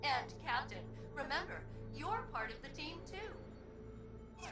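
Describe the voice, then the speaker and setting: A woman's voice: high voice, sing-song, slow, exaggerated voice. An actress playing an eager, needy female ship computer in a video game, with a perky and formal manner. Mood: chirpy, needy. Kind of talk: animated video game acting. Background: music.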